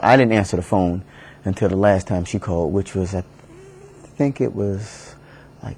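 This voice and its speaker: A middle-aged man recalling events in a personal interview, halting and often repeating his words as he goes. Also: deep voice, dark tone, slight background noise. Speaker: bereaved husband giving an interview. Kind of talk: personal recollection in a media interview.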